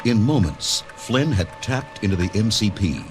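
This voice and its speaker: A man's voice: on the low side, expressive, normal speed, over music. A man narrating a read-along adventure story in a dramatic, scripted style.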